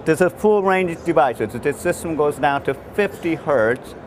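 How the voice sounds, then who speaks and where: Halting man presenting over heavy background noise. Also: fairly high pitch, lively intonation. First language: not English. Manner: halting, repetitive, frequent false starts. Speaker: loudspeaker designer presenting his product. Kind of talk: unscripted technical product demonstration. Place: trade show exhibition space. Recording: noisy trade show floor recording.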